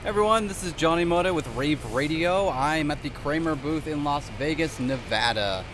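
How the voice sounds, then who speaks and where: A man's voice: a little high, lively intonation, moderate pace, over loud music. A man hosting a casual podcast from a trade show booth, bantering and making fun of himself. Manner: joking, self-deprecating banter. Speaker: podcast host. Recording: trade show hall noise behind.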